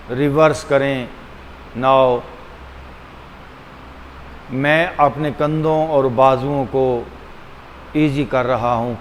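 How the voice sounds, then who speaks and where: A man leading a guided meditation as a teacher, speaking deliberately and repetitively with frequent pauses, mixing two languages. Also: fairly high pitch. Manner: switches between Hindi-Urdu and English. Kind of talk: guided meditation, calm instruction. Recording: long hall reverb, faint background hum.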